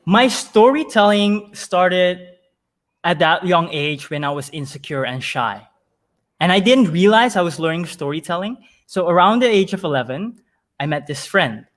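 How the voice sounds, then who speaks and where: A man's voice: high-pitched, animated, normal speed, a bit bright. A man answering as an interview guest in a conversational, storytelling way, emphatic and often repeating words as thoughts form.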